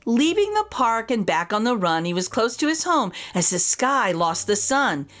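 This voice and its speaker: A woman narrates a children's picture book in rhyme. Her voice sits fairly high and moves expressively up and down, with music playing behind it. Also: big pitch swings, moderate pace, a bit bright. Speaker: storyteller. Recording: voice over background music.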